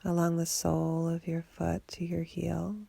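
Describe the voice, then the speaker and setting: A woman guiding a body scan meditation for sleep in a soothing, unhurried, gently instructing way. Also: fairly low pitch, monotone, vocal fry, slightly rough, dry recording. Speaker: meditation guide.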